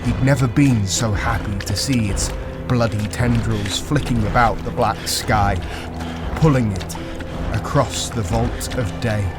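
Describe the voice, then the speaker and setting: Slow, dramatic male fantasy narrator over music. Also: fairly low pitch. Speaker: audiobook narrator.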